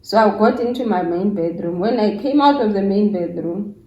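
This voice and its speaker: A woman's voice: mid-range voice, normal speed, dark tone, muffled recording. South African woman storytelling in an echoey room. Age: middle-aged. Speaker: YouTuber's mother telling a story as guest. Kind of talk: casual conversational storytelling. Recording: roomy, echoing indoor sound.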